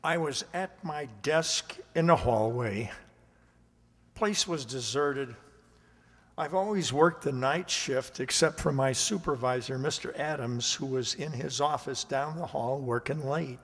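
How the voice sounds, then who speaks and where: An actor playing a timid, amiable night security guard in a radio drama, hesitant and folksy, stammering at times. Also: male voice, medium pitch, measured pace, a bit bright, in a large hall. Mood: reminiscing. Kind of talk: radio drama acting and narration.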